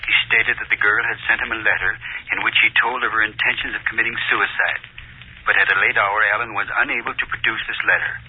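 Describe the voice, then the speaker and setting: An actor playing an impersonal court reporter reading out a formal announcement in a 1940s radio drama. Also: male voice, on the low side, steady tone, fast talker, slightly rough. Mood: formal, detached. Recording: muffled, telephone-thin old radio recording.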